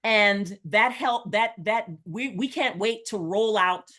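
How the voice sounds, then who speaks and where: A middle-aged woman who was born in Jamaica and grew up in the United States. She talks as a real estate coach on a video call. She is chatty and rambling, and her voice sits at an ordinary mid pitch. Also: slow pace, a bit bright. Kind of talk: casual webinar talk.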